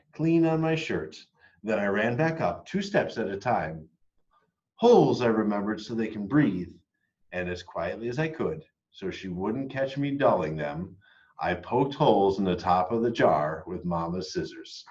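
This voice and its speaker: A man reading a children's picture book aloud expressively, moving between hushed and emphatic delivery. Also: lowish voice, animated, unhurried, highs cut off. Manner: dramatic, hushed and emphatic by turns. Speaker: read-aloud storyteller.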